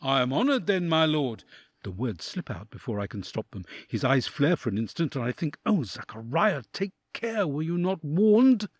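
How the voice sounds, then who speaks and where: A man's voice: a little high, big pitch swings, moderate pace, no room sound, clean studio sound. A man playing an awed, anxious envoy who narrates a dramatic audio drama in the first person. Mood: nervous, hushed.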